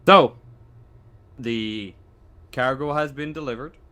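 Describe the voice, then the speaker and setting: Male game master, improvised tabletop narration, halting and conversational delivery. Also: medium pitch, pushed and bright.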